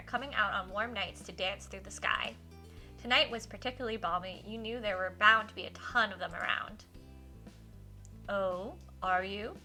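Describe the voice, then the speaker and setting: A woman livestreaming a game, switching between informal chat with viewers and expressive read-aloud in a giggly, animated manner. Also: medium pitch. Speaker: livestreamer hosting a visual novel playthrough.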